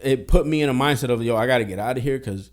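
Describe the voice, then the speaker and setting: Fast-talking young man, candid. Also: mid-range voice. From Boston. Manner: streetwise, candid, heavy swearing. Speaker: podcast guest. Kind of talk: casual podcast conversation.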